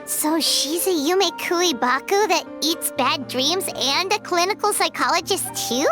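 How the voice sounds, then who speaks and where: An actress voicing a tiny, excitable, food-loving fairy companion who talks about herself in the third person, in a video game. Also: female voice, measured pace. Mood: eager. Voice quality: very high, squeaky, bouncy. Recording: game audio with background music.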